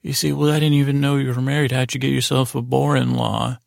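Male bedtime storyteller, heavy vocal fry, flat delivery, whimsical rambling with halting restarts, close dry sound. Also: mid-range voice, dry recording. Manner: meandering, halting, stammering and repeating words; whimsical, lightheartedly silly. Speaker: podcast host telling bedtime stories. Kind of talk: rambling, improvised bedtime monologue.

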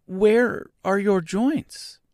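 Guy doing a shy kind little girl in a story, high soft voice, swoopy pitch, very dry. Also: very animated, measured pace, no echo. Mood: anxious, soft. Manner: timid, soft, gentle. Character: timid, kind, softly spoken young girl. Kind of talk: storybook character voice.

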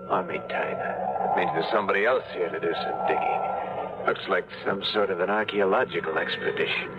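An actor playing a wisecracking pilot who also narrates, in a vintage radio drama. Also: male voice, low-pitched, brisk, lightly acted. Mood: wry. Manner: dry, wisecracking. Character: wisecracking male pilot adventurer. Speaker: narrator and lead character. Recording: dull vintage radio broadcast with loud music.

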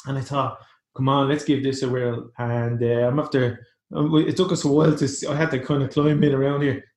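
A man's voice: mid-range voice, level tone, a little fast, darkish tone, reverberant. A young adult Irish man co-hosting a podcast in casual chat, laughing easily. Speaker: podcast co-host.

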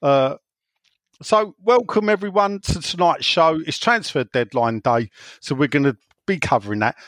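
A man's voice: fairly high pitch, expressive, normal speed, a bit bright, no room sound. Jokey man hosting a podcast. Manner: banters, teasing, stop-start with many fillers. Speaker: podcast host standing in. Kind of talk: casual chat, jokey.

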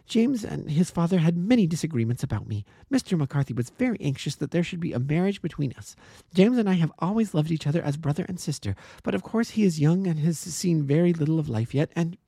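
A man's voice: gravelly, warm tone, breathy edge, no echo, clean studio sound, exaggerated voice. A man voicing an earnest young woman, breathless and insistent as she pleads. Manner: breathless and insistent, rising high. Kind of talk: audiobook character voice.

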